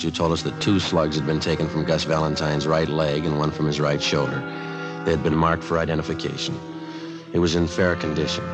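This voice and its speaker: An actor playing a stern, deadpan American police sergeant in a 1950s radio drama, narrating in terse, matter-of-fact lines. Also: male voice, a bass voice. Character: no-nonsense male police detective sergeant, stern and dry. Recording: vintage radio drama recording.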